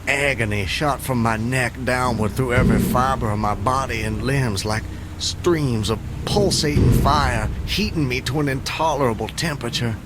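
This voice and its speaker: An actor playing a proud, resolute Southern planter of the Civil War in a radio drama, speaking formally and gravely. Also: male voice, on the low side, noisy background, close to an everyday voice. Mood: anguished recollection. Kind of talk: radio drama acting, narrating and dialogue.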